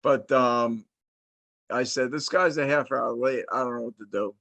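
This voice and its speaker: A man's voice: mid-range voice, normal speed, gravelly, a bit bright, no room sound. A middle-aged man from New Jersey hosting a casual interview, telling long stories with many restarts and fillers. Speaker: host, interviewer.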